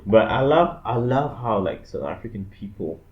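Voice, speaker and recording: Young congolese guy rambling on a podcast, lowish voice, kinda muffled and echoey. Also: animated, moderate pace. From Democratic Republic of the Congo. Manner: rambling, fills with "like" and "you know". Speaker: podcast co-host. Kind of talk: casual chat. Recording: echoey room, dull lo-fi sound.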